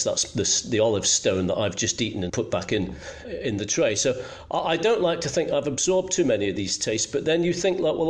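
A middle-aged man from Scotland, telling anecdotes conversationally as a guest, in a self-deprecating way. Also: medium pitch. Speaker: journalist guest.

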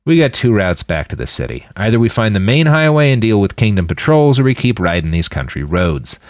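Man acting a battle-hardened fighter, low voice, blunt, slangy, casual, audiobook dialogue, dry sound. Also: big pitch swings, fairly quick, dry recording. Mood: matter-of-fact. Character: gruff, casual, battle-hardened male fighter in a dystopian story.

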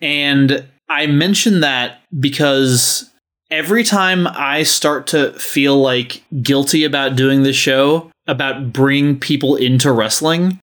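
A man's voice: medium pitch, slow pace, fairly bright tone. Male podcast host, mid-range voice, blunt and emphatic, comfortable swearing while addressing the audience. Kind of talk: podcast talk, addressing listeners.

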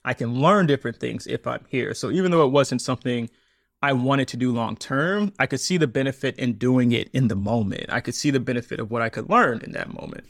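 A man in his thirties talks through his story as a podcast guest, animated and quick to laugh. His voice sits at a middle pitch. The sound is dry and close, with no room around it. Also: gravelly, dry recording. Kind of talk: conversational interview.

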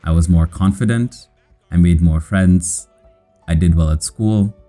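A man from Kenya with an American accent, narrating his own life in measured, scripted, plainly worded short sentences. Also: low voice, mellow, faint music behind. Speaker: storyteller.

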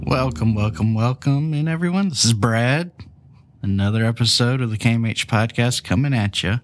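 Guy w a head cold hosting a true crime podcast, joking around, mid pitch. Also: moderate pace, soft background music. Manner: jokey, casual asides. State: stuffy from a cold. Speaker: podcast host.

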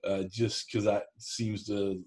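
A man's voice: on the low side, flat delivery, slow pace, darkish tone. A man in a casual conversation, talking in a rambling, folksy and plain-spoken way as a show host. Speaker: co-host of an online cooking show, interview guest.

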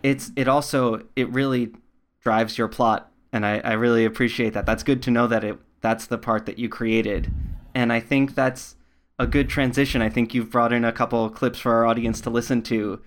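A man's voice: mid-range voice, steady tone, moderate pace. A man hosting a podcast interview, conversational, attentive and affirming toward his guest.

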